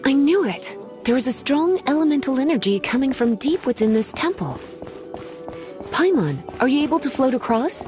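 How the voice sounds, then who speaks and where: A woman's voice: high voice, very animated, music in the background. A languid, flirtatious game witch, lilting. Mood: playful, confident. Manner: teasing, sultry, unhurried. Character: languid, flirtatious, scholarly adult witch of electric magic. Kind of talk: video game voice acting.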